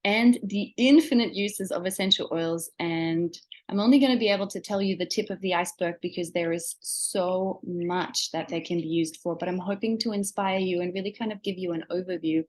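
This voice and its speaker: A woman's voice: on the low side, brisk, darkish tone. A woman from Germany, a native German speaker with a slight Australian accent, presenting a webinar in an encouraging, explanatory way and addressing the listener directly. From Germany, born in Austria. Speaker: webinar presenter, wellness seller.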